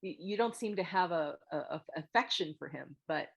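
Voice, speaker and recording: A woman hosting an online group critique, giving frank, thoughtful script feedback in a halting, casual way over a video call. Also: deep voice, expressive, vocal fry, husky, highs cut off. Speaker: host of a table read meet-up giving script feedback. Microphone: video call microphone.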